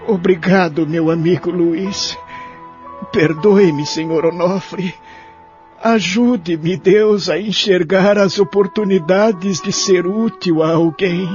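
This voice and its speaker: An actor playing a lonely, sorrowful elderly care-home resident in an audio drama, speaking in a measured, plaintive way. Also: male voice, high voice, darkish tone. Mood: sorrowful, reflective. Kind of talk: audio drama narration and dialogue. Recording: dull lo-fi audio with music behind.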